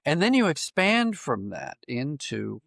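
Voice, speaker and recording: A man's voice: fairly high pitch, huge pitch swings, unhurried, vocal fry, fairly bright tone, dry recording. A man speaking as an interview guest, reflective and conversational, thinking aloud and correcting himself as he goes. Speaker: podcast guest, writer and dramatist.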